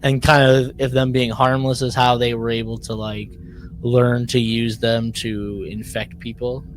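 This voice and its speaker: A man's voice: medium pitch, flat delivery, a little slow, a little hiss or hum. A man co-hosting a podcast, chatting casually and informally about what he has listened to. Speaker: podcast co-host. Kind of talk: casual chat about a sci-fi audio drama.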